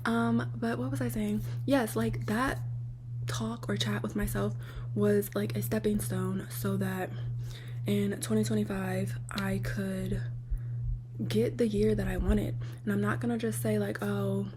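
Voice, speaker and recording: A woman vlogger with a raspy, slightly worn voice, chatting casually and rambling with lots of fillers. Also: medium pitch, little pitch movement, measured pace, background noise. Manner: rambling, lots of "like", talks to viewers directly. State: losing her voice. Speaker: lifestyle vlogger.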